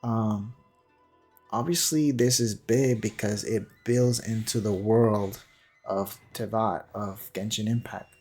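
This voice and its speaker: A man's voice: lowish voice, steady tone, slow pace, darkish tone, slightly rough. A man giving unscripted, explanatory commentary on a video game, musing tentatively as he goes. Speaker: gaming commentator. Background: faint music.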